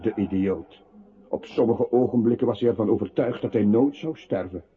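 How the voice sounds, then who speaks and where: A man's voice: on the low side, normal speed, close to an everyday voice. A male actor in a radio play voicing a scornful, mocking inner voice that passes sardonic judgement. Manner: sardonic, contemptuous, theatrical. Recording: thin phone-like radio sound, loud music.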